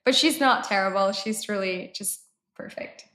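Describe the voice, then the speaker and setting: A woman's voice: mid-range voice, normal speed, bright, forceful tone. A woman from Mexico, a native Spanish speaker, talking casually as an interview guest and startup founder. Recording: roomy, echoey sound.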